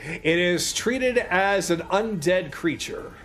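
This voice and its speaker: A man's voice: sits high, animated, slow pace, a bit bright, faint music behind. A man speaking casually as a game master, narrating action in a tabletop role-playing game.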